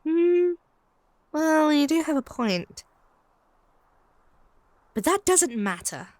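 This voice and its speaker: A woman's voice: sits high, big pitch swings, slow pace, darkish tone, dry recording, exaggerated voice. A woman playing a possessive, haughty female vampire in a comedic roleplay audio drama, taunting and doting in a theatrical way. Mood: flustered, defiant.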